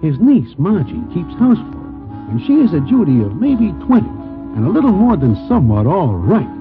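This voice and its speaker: Wry New York storyteller sidekick, very animated delivery, slangy and formal, tinny 1940s radio sound. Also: male voice, a little high, moderate pace. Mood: wry storytelling. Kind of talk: 1940s radio comedy acting. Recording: tinny, band-limited 1940s radio recording, music behind.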